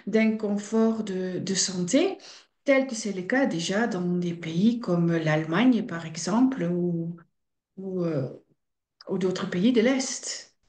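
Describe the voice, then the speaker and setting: A middle-aged woman from Belgium and the Netherlands speaking French with a Belgian-Dutch accent, explaining fasting calmly and with assurance as a guest in a podcast interview. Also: mid-range voice. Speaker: fasting center director, guest. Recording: roomy echo.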